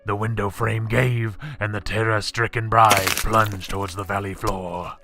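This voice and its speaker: A man's voice: fairly low pitch, level tone, a little slow, pushed and bright. A man narrating a scripted horror story with dramatic storytelling delivery.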